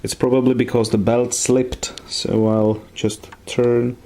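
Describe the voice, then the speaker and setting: A man giving a careful, step-by-step hands-on repair tutorial in halting phrases. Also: mid-range voice, level tone, mellow, a little hiss or hum.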